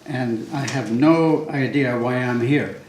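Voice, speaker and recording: An older man with a Swedish accent welcomes a conference audience. He is easygoing and jokes a little, and he fills his pauses with "uh". His voice echoes around a large hall. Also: mid-range voice. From Sweden. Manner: relaxed, joking, many fillers. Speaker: conference host. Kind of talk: welcoming remarks. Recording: echoey hall, faint background hum.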